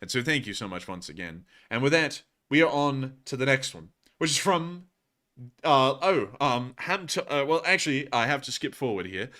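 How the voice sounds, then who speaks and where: A man's voice: medium pitch, big pitch swings, moderate pace, pushed and bright. A man from the United States with a tired voice, putting on a British accent as he hosts a livestream and answers viewers in wordy, emphatic, formal phrases. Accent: put-on British. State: fatigued voice. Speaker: livestream host of a news channel.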